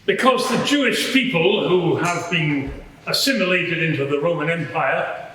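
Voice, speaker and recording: A man's voice: on the high side, moderate pace, sharp tone. A man playing a dutiful, blunt Roman centurion of fifty-eight, giving formal, clipped answers as a courtroom witness. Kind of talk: courtroom role-play testimony. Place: large echoing courtroom with audience. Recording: echoey hall, background noise.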